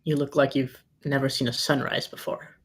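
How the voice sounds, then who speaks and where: A woman's voice: a bass voice, flat delivery, moderate pace, breathy edge. A woman playing a laconic, easygoing former soldier in a plainspoken, folksy way at a screenplay table read. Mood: wry, easygoing.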